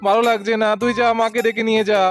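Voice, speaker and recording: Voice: mid-range voice, flat delivery, rapid-fire, music in the background, close to an everyday voice. A performer voicing a sleepy, irritated village father who grumbles and complains in a children's doll story. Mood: grumpy, sleepy.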